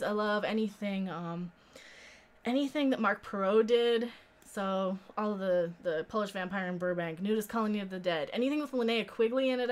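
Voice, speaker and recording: An American woman in her thirties hosting a live stream, talking in a rambling, informal, sweary way as she answers viewer comments. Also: medium pitch. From central United States. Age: 30s.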